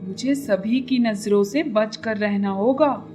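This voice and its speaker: Cartoon crow-demon, played by a woman: slow, heavy, lowered voice for a hungry, scheming male brute, music underneath. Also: dark tone, lightly acted. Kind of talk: cartoon moral story character acting.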